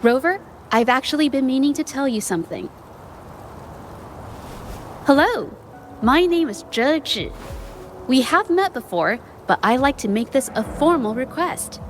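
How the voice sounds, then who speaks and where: A woman's voice: high-pitched, sing-song, unhurried, long pauses. An actress voicing a shy, timid young woman painter in a video game, hesitant and stammering. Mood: shy earnest. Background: music.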